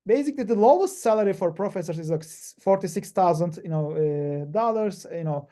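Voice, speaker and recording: A man from Turkey giving a webinar presentation on a video call, talking haltingly with many fillers and restarts. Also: high-pitched, lively intonation, mellow. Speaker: PhD panelist.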